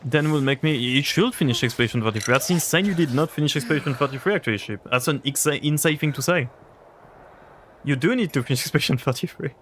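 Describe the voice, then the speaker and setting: A man's voice: on the high side, fast talker, a bit bright, slight background noise. Rambling French-accented man streaming a game. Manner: chatty, rambling, answers chat. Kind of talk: casual livestream chat while gaming.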